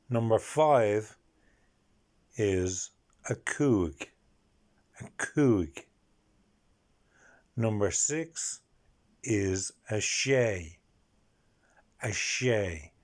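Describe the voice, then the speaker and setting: A man's voice: fairly low pitch, darkish tone. A man with an Irish accent hosts a language-learning video, carefully explaining and repeating each number word.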